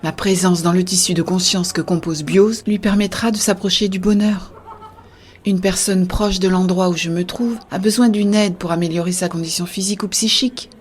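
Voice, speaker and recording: A woman playing an earnest, idealistic young-hearted believer in a scripted science-fiction audio drama, with a husky, soft delivery. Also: on the low side, steady tone, moderate pace, natural voice. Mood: earnest, persuasive. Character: Béa, earnest idealistic woman defending a shared-consciousness network. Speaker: voice actor narrating in first person.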